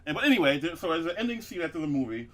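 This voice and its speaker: A man's voice: on the high side, sing-song, rapid-fire. A man hosting a casual comedy podcast, rambling with many fillers and restarts and laughing at his own jokes. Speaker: podcast host.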